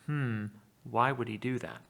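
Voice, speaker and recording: A man's voice: mid-range voice, steady tone, a little slow, creaky, fairly bright tone. A man giving a read-aloud lesson as a teacher, explaining in an informal, wry way.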